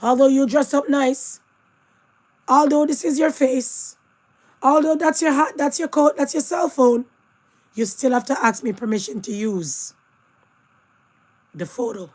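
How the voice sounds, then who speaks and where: Woman host, hoarse from losing her voice, higher voice, chatty commentary. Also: measured pace, long pauses, darkish tone. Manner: chatty asides, addresses viewers directly. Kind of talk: casual news commentary.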